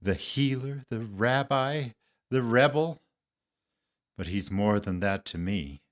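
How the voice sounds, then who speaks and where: A man performing a dramatic monologue as a guilt-ridden disciple and fisherman, confiding and deliberate, with theatrical pauses. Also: mid-range voice, animated. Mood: fond, proud. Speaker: congregation member performing a Lenten monologue.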